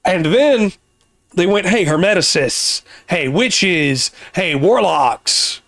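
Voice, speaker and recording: A man hosting a casual podcast, explaining things at length with pauses and restarts, his voice getting worn. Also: sits high, animated, a bit bright, dry recording, clean studio sound. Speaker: podcast host.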